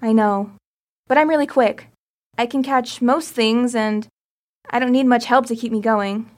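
An earnest teenage girl pleads in a higher voice, as a woman plays her. She comes across as a desperate survivor in an audio drama. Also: measured pace, close to an everyday voice. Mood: pleading.

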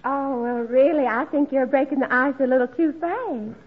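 Actress as a high-voiced, coy, polite young woman. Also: moderate pace, natural voice. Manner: polite, demure, well-spoken. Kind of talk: 1940s radio comedy acting. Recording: muffled noisy old radio, studio audience.

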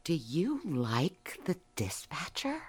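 An actress playing a cheeky, evasive burglary suspect in an audio drama, theatrical and teasing, swinging from meek to emphatic. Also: female voice, low-pitched, sing-song, slow, slightly rough, exaggerated voice. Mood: sly, confident. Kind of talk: audio drama dialogue acting.

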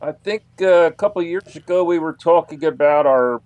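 An older man from Philadelphia with an American accent, answering as an interview guest in a halting, conversational way. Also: a little high, darkish tone, no room sound. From Philadelphia, United States. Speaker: interview guest, author and investigator.

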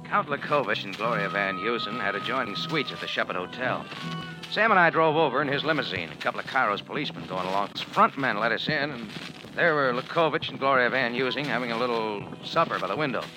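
An actor with an American accent playing a wry, slangy, tough cafe owner in 1940s radio noir. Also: male voice, medium pitch, animated, quick pace, lightly acted, poor audio. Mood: wry narrating. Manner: sardonic, slangy, unflustered. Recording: old 1940s radio recording with music.

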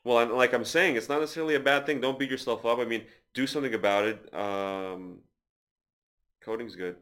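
A man's voice: mid-range voice, a little fast, a bit bright. A man hosting a casual podcast, talking in a loose, rambling way with crude jokes. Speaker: podcast host.

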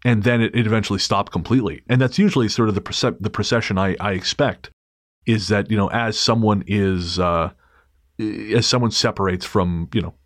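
Low-voiced wry male podcast host. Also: steady tone, brisk, no echo, clean studio sound. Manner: wry, joking, hesitant restarts. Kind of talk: casual paranormal chat and reading a listener story.

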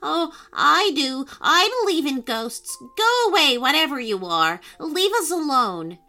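A woman voicing a timid, touchy male dodo bird in a storybook, squeaky and nervous. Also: sing-song, a little slow, bright, forceful tone, full character voice. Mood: scared, angry. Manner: nervous, stuttering when scared.

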